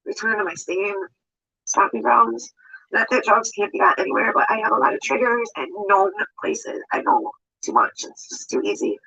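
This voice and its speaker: A woman's voice: on the high side, moderate pace, vocal fry, bright, forceful tone, slightly rough. A woman rambling hesitantly in a casual vlog, with many fillers. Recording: muffled lo-fi clip.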